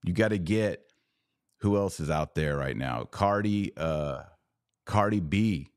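A man's voice: low voice, slow pace, smooth, no echo, clean studio sound. A man hosting a livestreamed commentary podcast, talking emphatically with sarcastic, mocking asides. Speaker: podcast host.

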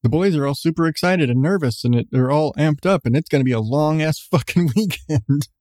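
Man, middle-aged, calm voice, mellow tone, casual profane podcast rambling. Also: on the high side, fairly quick, dry recording, studio quality. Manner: profane, candid, laughs often. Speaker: podcast host. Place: seated at home on a creaky chair.

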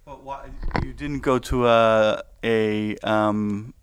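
A man co-hosting a podcast, asking hesitant, halting questions. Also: on the low side, steady tone, slight background noise. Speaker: podcast co-host.

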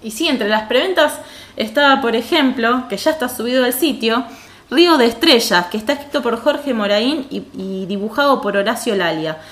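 A woman's voice: on the high side, expressive, normal speed, a bit bright. A woman with an Argentine accent chatting casually as a podcast co-host. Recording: roomy echo.

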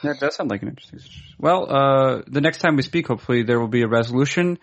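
A man's voice: mid-range voice, racing. Joking male podcast host. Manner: joking, teasing banter. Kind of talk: casual podcast chat.

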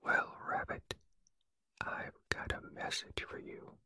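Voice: slow pace, bright, forceful tone, dry recording. A storyteller voicing a naive, eager, muddled male toy bear from a children's storybook in a whisper. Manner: whispered, simple, wondering.

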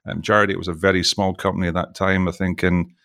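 A man's voice: low voice, flat delivery, fast, gravelly, a bit bright, no room sound, studio quality. A middle-aged Scottish man chatting as an interview guest, jokey and talkative, often restarting his sentences. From Scotland. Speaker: podcast guest, oil industry executive. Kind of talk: casual interview chat.

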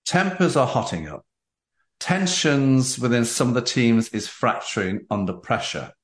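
A man's voice: medium pitch, animated, a little slow, echoey room. A man hosting a book interview, speaking emphatically and repeating phrases and tag questions for effect. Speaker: host of a book talk show. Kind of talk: interview, discussing a book with its author.

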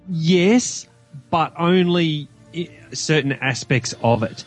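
A man's voice: on the high side, extremely slow, faint music behind. A man with an Australian accent, talking casually as a guest podcaster in a film review discussion.

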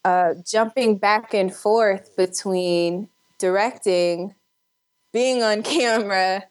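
A woman's voice: mid-range voice, takes it slowly. A woman chatting casually and upbeat as an interview guest, talking like someone who works in film. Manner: upbeat, chuckles while talking.

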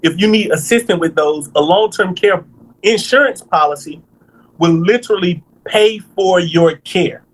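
A man in his thirties, a financial planner on a podcast, explaining things in a lively, conversational way and checking in with "right?". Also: sits high, measured pace, rough recording. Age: 30s.

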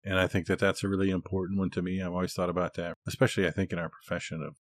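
Deep voiced guy on a podcast, thoughtful and casual, really dry sound no room. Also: flat delivery, rapid-fire, no room sound. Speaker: podcast co-host. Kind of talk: casual, reflective discussion.

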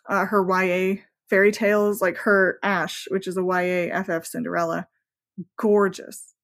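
Chatty, effusive woman being interviewed. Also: mid-range voice. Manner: informal and effusive, with emphatic asides. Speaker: podcast guest, romance novelist. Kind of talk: casual, chatty podcast interview.

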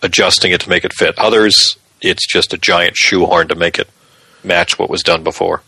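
A man's voice: deep voice, fairly even intonation, moderate pace, gravelly, bright, forceful tone, slightly rough, no echo, low-quality recording. A man co-hosting a podcast, explaining things in a casual, conversational style. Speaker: podcast co-host.

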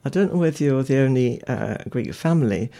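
A woman's voice: rumbling low, animated, fairly quick, dark tone. A woman hosting a podcast, asking questions in a chatty way with frequent short reactions. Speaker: podcast host. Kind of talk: casual interview.

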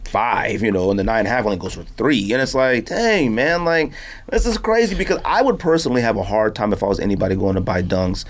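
A man's voice: lowish voice, fast talker. A man hosting a podcast in casual, slangy conversation, telling stories and acting out quotes. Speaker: podcast host.

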